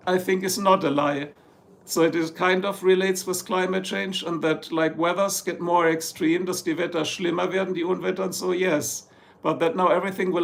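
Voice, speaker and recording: A man's voice: high-pitched, creaky. A man from Germany with a German accent, chatting casually to his livestream viewers, rambling and joking and slipping into German now and then. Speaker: livestream host. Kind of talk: casual livestream chat reading comments. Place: home in Ohio, US.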